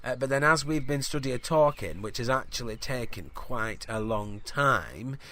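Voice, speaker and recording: A man's voice: medium pitch, animated, unhurried, sharp tone. A man playing an earnest, easily sidetracked teenage farm boy, hesitant and colloquial, in a scripted audio comedy. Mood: matter-of-fact.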